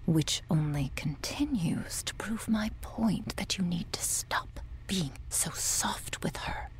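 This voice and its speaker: A woman playing a cold, domineering medic in an ASMR roleplay, speaking in a breathy, condescending near-whisper with quiet menace. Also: lowish voice, slow pace. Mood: curt, impatient. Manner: condescending, menacing, coolly authoritative. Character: cold, sadistic, domineering woman medic running a captive operation.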